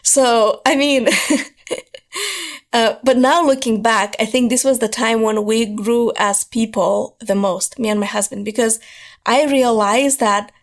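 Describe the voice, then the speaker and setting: A woman, telling her life story as a podcast guest, hoarse from losing her voice and talking in an earnest, driven way. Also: fairly high pitch, takes it slowly. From Moldova. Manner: earnest, driven storytelling, repeats words for emphasis.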